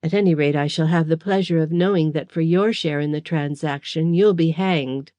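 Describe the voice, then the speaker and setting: A woman's voice: low-pitched, normal speed, dark tone, no echo, muffled recording, lightly acted. A woman voicing a sinister foreign-accented male villain who speaks with a constant sneer. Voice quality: sneering, insinuating.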